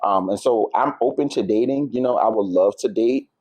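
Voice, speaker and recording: A man in his thirties with a fairly low voice, recorded dry and close. He talks casually and openly about himself as an interview guest. His delivery is reflective, dotted with small check-ins to the listener. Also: normal speed, darkish tone, no echo. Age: 30s. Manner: reflective, open, peppers talk with "like", "you know" and "right?". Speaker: podcast guest. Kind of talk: candid, casual personal conversation.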